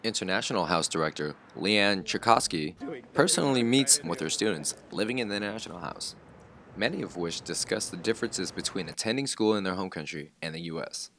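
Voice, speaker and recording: A man's voice: deep voice, expressive, brisk, a crowd in the background. A man narrating scripted news stories as a reporter.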